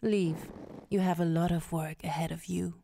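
A woman's voice: lowish voice, fairly even intonation, normal speed, gravelly, dark tone, slight background noise. A woman playing a cold, commanding crime boss with a curt, imperious manner in an audio drama.

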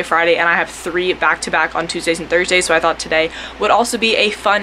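A young woman vlogger with a hoarse, worn-out voice, chatting casually to her phone camera in a college dorm room. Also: fairly low pitch, bright, forceful tone, a little hiss or hum. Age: young adult. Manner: chatty, upbeat, rambling. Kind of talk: casual vlog talk to camera. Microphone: phone held at arm's length.

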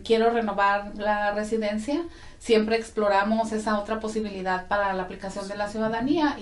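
Woman in her forties, legal representative, friendly explainer, echoey office sound. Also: mid-range voice. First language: Spanish. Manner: friendly, explanatory, frequent tag questions. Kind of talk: informative interview talk. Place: small office with bookshelves, indoors.

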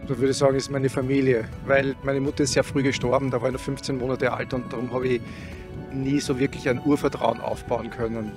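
A man's voice: mid-range voice, little pitch movement, a little fast, over music. A middle-aged man answering earnestly and personally in an outdoor street interview. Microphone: handheld microphone held by interviewer.

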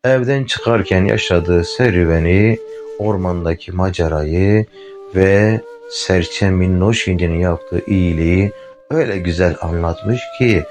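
Low-voiced guy telling a bedtime fairy tale, soft flute music behind. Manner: gentle, unhurried, addresses the listener directly. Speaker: storyteller. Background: faint flute music.

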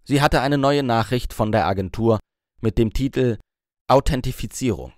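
A man's voice: mid-range voice, moderate pace, darkish tone, no echo, studio quality. A man reading a novel aloud as scripted audiobook narration.

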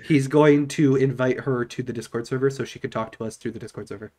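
A man's voice: mid-range voice, fairly even intonation, quick pace, a little mellow. A young man streaming a game, sick, talking casually and joking with friends over a headset in a small room. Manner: joking, talkative. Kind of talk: casual gaming chat.

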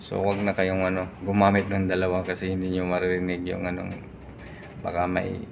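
A man in his thirties with a Filipino accent, giving a casual product review in short, halting bursts as he searches for words. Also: low voice, monotone, vocal fry, noisy background. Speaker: vlogger reviewing gear. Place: large tiled indoor hall. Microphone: phone at arm's length.

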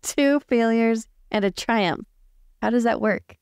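A woman's voice: on the high side, takes it slowly, darkish tone, clear, resonant tone, dry recording. Giggly woman chatting, dry close sound. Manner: giggly, informal. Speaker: player in a tabletop story podcast. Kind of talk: casual game chat and improvised roleplay. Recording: dry, faint music behind.

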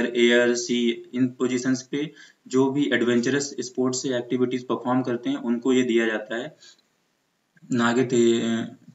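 An Indian man teaching exam preparation in an explanatory lecture style, mixing in English terms. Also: medium pitch. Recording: muffled, echoey room recording.

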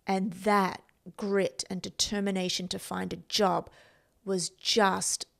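A woman's voice: medium pitch, little pitch movement, slow pace, gravelly, fairly bright tone. A woman in her thirties with an Australian accent, telling motivational personal stories in an emphatic, self-assured way, close on a podcast microphone. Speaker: podcast host and performance coach. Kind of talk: motivational personal storytelling to camera. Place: indoor room. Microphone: podcast microphone on boom arm, close up.

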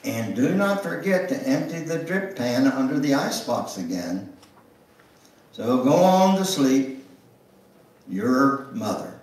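An older man gives a dramatic memoir reading in a church, theatrical and deliberate, drawing out words and pausing for emphasis. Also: medium pitch, very animated, darkish tone, recorded from a distance. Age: older, 70s or above. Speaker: guest speaker reading at a church. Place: church sanctuary, indoors at the front. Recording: roomy, echoing church sound from afar.

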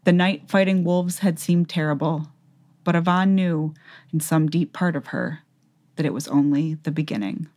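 A woman's voice: low voice, fairly even intonation, normal speed, darkish tone. A woman narrator reading a fantasy tale in a scripted, dramatic audiobook style.

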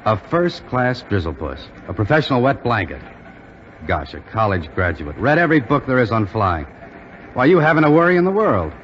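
An actor playing a brash, friendly young army cadet in slangy 1940s radio drama style. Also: male voice, medium pitch, sing-song, moderate pace, a bit bright. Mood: teasing, encouraging. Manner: slangy, ribbing. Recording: dull 1940s radio broadcast, background noise.

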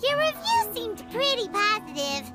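Very high squeaky excitable fairy child. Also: female voice, measured pace, over loud music, heavily acted. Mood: questioning. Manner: refers to herself in third person, exclamatory. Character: tiny childlike floating fairy companion, excitable and blunt. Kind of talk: video game voice acting.

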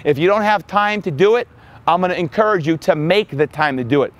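A man in his forties, a fitness coach, giving energetic marketing tips to the camera outdoors on a lapel mic. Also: high voice, a little fast. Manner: energetic, upbeat, talks with his hands. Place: outdoors by a building in sunshine.

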